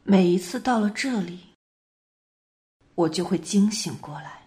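A woman's voice: mid-range voice, lightly acted. A woman in an amateur radio drama plays a haunted dreamer, telling her story in a grave, detached and dramatic way. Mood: numb, reflective. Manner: slow, hushed, dramatic delivery.

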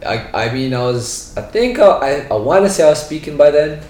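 A man's voice: fairly high pitch, lively intonation, brisk, darkish tone. A man, raised in Canada by a Punjabi-speaking family, talking in a rambling, slangy casual chat. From born in India, raised in Brampton, Canada. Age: 20s. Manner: slangy, rambling, full of "yo" and "bro". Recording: roomy echo, faint background noise.

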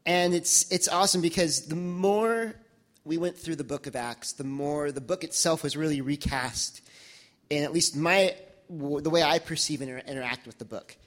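A young man speaking to his church congregation, reflective and halting, with many restarts and fillers. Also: a little high, fairly bright tone. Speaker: church member sharing reflections.

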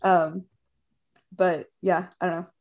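A woman's voice: lowish voice, flat delivery, takes it slowly, a little mellow. A woman in casual podcast chat who talks hesitantly and makes fun of herself, with many "um"s. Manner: self-deprecating, hesitant, frequent fillers.

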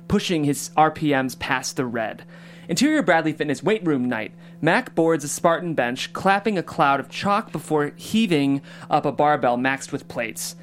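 A podcast host welcoming listeners and reading scene directions in a chatty, upbeat way. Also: mid-range voice. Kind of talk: hosting a table read and reading stage directions.

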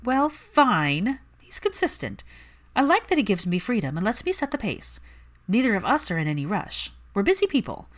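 A woman voicing a haughty, sharp-tongued young witch with a huffy, dramatic manner in a story reading. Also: on the high side, wildly swooping pitch, moderate pace, pitched above the performer's own voice. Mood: defensive admission.

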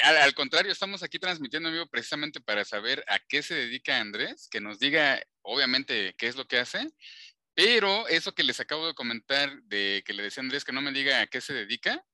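A man's voice: medium pitch, expressive, moderate pace, sharp tone, no echo. A man hosting a live interview, chatty and informal. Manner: chatty, informal, with conversational tags. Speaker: interview host.

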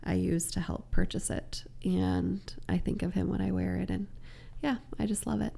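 Female guest, heavy vocal fry, raspy from a lingering cold, mellow tone, casual conversation. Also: lowish voice, fairly even intonation, moderate pace. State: getting over a cold. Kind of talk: casual chat.

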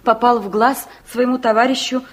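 An actress playing a shy, tender young sweetheart, gentle and easily moved, in a 1960s Soviet radio play. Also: female voice, on the high side, steady tone, normal speed, close to an everyday voice. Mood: reading aloud. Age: young adult.

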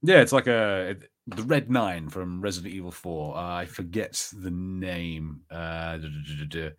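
Male, English accent, lowish pitch. Informal co-host offering fan commentary in hesitant, tentative phrases. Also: unhurried. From England. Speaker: podcast co-host reviewing a TV episode. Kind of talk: casual chat, fan commentary.

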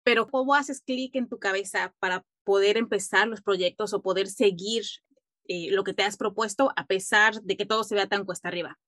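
A woman's voice: mid-range voice, normal speed, a bit bright, no echo, clean studio sound. A woman hosting an interview podcast, friendly and encouraging, asking long questions. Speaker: podcast host.

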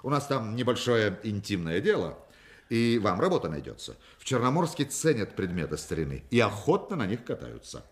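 A man's voice: fairly low pitch, sing-song, fairly quick. An actor playing a witty, commanding male con man in a Russian radio play, talking with mock-pompous irony and grand declamation. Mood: teasing, confident.

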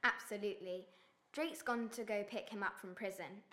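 A woman's voice: mid-range voice, normal speed, pushed and bright, close to an everyday voice. A woman playing a young sister in an audio drama, hurt and earnest, pleading with her wayward brother. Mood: relaxed.

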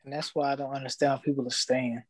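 A young man, telling his story in casual podcast chat, slangy and animated, acting out his past thoughts. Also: medium pitch, fast talker, no echo.

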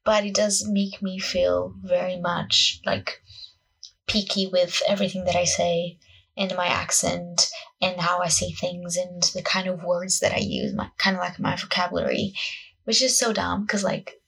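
A woman around twenty with a Portuguese accent chats casually into a solo podcast. Her voice is fairly husky, with plenty of vocal fry. She rambles in a scattered, self-conscious way, leaning on "like" as she goes. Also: lowish voice, expressive, a little slow, fairly bright tone, poor audio. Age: young adult, about 20. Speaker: podcast host, acting student.

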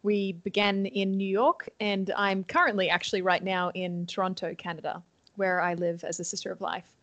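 A woman's voice: medium pitch, fairly even intonation, normal speed, fairly bright tone, dry recording. A young adult woman from Sydney with an Australian accent, a nun guest chatting cheerfully in an interview, laughing often and saying um a lot. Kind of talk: casual interview chat.

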